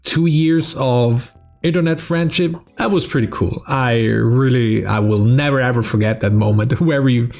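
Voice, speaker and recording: A man's voice: medium pitch, moderate pace, gravelly, darkish tone. A young man from South Tyrol with a German accent, a streamer casually answering fan questions, swearing and laughing between answers. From South Tyrol, Italy. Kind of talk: casual Q&A vlog.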